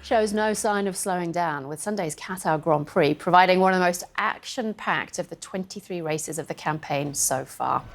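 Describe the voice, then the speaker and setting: A woman reporter reading scripted news in a crisp way, her voice a little low. Loud noise sits behind her. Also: moderate pace, hardly any pauses.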